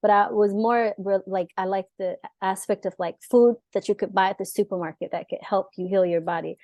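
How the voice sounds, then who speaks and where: A young adult woman, born in the US and raised in Israel, chatting as a dietitian guest in a lively way, full of fillers and laughs. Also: medium pitch, brisk, dry recording. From born in the US, grew up in Israel. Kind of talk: casual interview talk.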